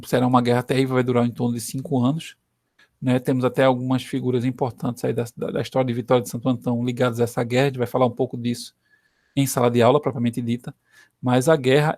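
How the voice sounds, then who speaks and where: A Brazilian man teaches history in a relaxed, conversational tone, tagging questions onto his sentences to keep students with him. His voice sits at an ordinary middle pitch. The recording is dry and close, with no room sound. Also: no room sound. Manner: conversational, frequent "né" tag questions.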